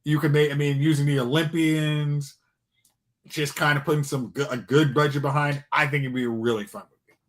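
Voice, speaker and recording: A man with a slightly high voice speaks casually as a podcast panelist. He is hesitant and rambling, working out his thoughts as he goes. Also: fairly even intonation, a little fast, a bit bright. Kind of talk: casual chat.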